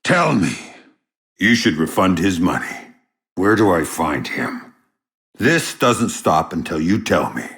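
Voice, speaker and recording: An actor playing a hardened, sardonic middle-aged covert operative in a video game, gruff and terse, with dry deadpan delivery. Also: male voice, sits low, takes it slowly, no room sound, heavily acted. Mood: forceful, threatening. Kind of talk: video game character dialogue.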